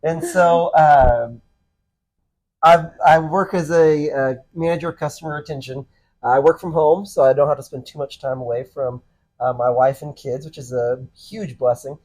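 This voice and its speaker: A man's voice: a little high, fairly even intonation, darkish tone, poor audio. Earnest easygoing man in his thirties. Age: 30s. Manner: easygoing and earnest, halting with many ums and restarts. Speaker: podcast co-host, pastor. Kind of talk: casual conversational podcast chat.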